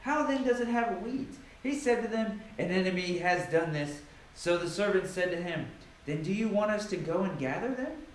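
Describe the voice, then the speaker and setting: In a heavily reverberant room with a faint noise floor, a man reads scripture aloud. His fairly high voice swings widely in pitch, and he delivers the verses theatrically, with emphatic pauses. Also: expressive. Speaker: Bible reader. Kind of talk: dramatic scripture reading with informal asides.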